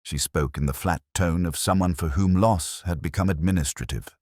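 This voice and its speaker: A man narrating a scripted detective mystery in the first person, in a measured, brooding, literary manner. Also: sits low, a little mellow, dry recording, studio quality. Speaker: narrator playing a melancholy detective.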